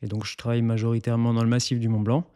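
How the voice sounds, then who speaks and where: A mountain guide from eastern France, answering interview questions in a calm, explanatory way with some hesitations. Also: male voice, medium pitch, racing, clean tone. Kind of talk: interview guest.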